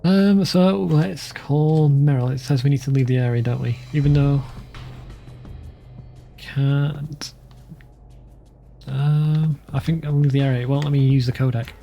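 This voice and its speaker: A man with a creaky, mellow voice and medium pitch hosts a let's play. He chats casually as game commentary, with faint music behind. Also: steady tone, measured pace, long pauses. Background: game music, keyboard typing.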